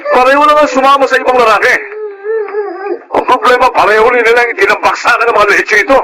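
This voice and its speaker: A man's voice: very high voice, steady tone, fast talker, crowd noise, poor audio. An actor playing a callous, hot-tempered middle-aged Filipino father in a radio drama, scornful and boastful. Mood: exasperated.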